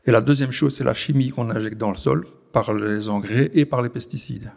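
Forties Belgian farmer from the Ardennes, gravelly voice, hesitant, restarts often. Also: male voice, mid-range voice, normal speed, darkish tone. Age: 40s. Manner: hesitant, many restarts and fillers. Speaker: farmer guest. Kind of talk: conversational interview. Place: on a farm.

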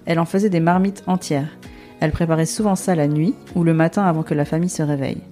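A woman's voice: low-pitched, fairly even intonation, quick pace, mellow, faint music behind. A woman from France hosting a podcast in a scripted, narrated style. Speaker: podcast host.

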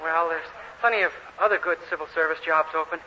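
A man's voice: on the high side, fairly even intonation, quick pace, close to an everyday voice. An actor playing a naive, cheerful, literal-minded young man in a 1940s radio sitcom, with a guileless manner. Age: young adult. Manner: guileless, artless. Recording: noisy, dull 1940s radio recording.

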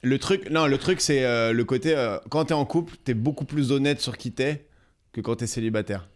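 A man in a casual podcast chat, animated and fond of playful analogies. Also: mid-range voice, brisk, a little hiss or hum.

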